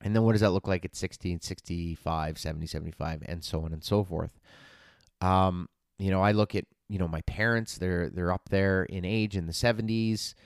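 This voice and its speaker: A man in his forties with a very low, smooth, resonant voice, talking as a fitness coach on his own podcast. He is earnest and encouraging, with plenty of fillers and restarts. The recording is dry and close. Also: fairly even intonation, brisk, no room sound. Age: 40s. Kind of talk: casual solo podcast talk.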